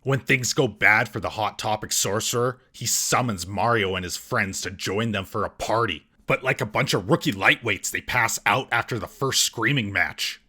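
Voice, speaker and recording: A man's voice: fairly low pitch, normal speed, few pauses, bright, forceful tone, slight background noise. A man narrating a scripted comedic movie roast as host, in a sarcastic, mocking manner.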